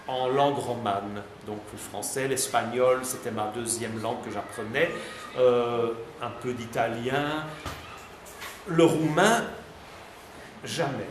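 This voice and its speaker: Guy rambling as a guest at a school, lots of uh and restarts, echoey room, noisy. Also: medium pitch. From Belgium. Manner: chatty, hesitant, many fillers and restarts. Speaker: visiting guest answering students. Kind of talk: relaxed spontaneous talk. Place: high school classroom. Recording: echoey classroom with background noise.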